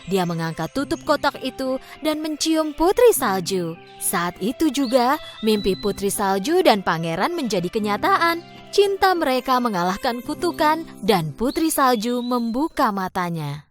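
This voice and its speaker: A woman narrating a children's cartoon fairy tale in an expressive storytelling manner. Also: fairly high pitch, a little slow, faint music behind.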